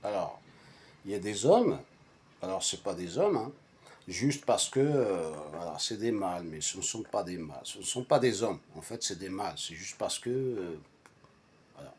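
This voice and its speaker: A man whose first language is Arabic, telling a personal story casually in a halting, repetitive and emphatic way. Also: low-pitched, big pitch swings, darkish tone. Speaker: storyteller recounting a personal anecdote to friends.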